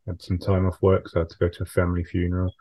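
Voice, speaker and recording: A man co-hosting a casual podcast, speaking in a quiet, low-key way. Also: monotone, racing. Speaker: podcast co-host.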